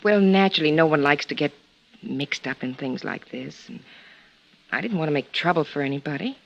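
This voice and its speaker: A man's voice: fairly high pitch, a little fast, gravelly. A man voicing a prim, nervous female secretary in a 1950s radio drama, speaking in a hesitant, careful way. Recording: dull old radio recording with hiss.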